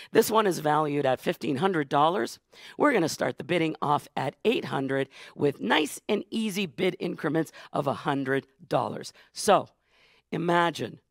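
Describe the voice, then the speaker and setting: A hoarse Canadian man hosting a live charity gala auction, hyping donors with rapid, energetic calls from a studio stage. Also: on the high side, no room sound. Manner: rapid hype, calling out donors. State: hoarse, losing voice. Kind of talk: high-energy live fundraising emcee. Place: studio stage with blue curtains.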